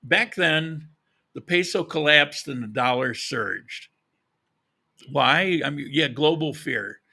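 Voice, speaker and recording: A man's voice: fairly high pitch, takes it slowly, gravelly, sharp tone. A man hosting a live podcast, talking informally and directly to his audience. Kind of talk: casual livestream talk to viewers.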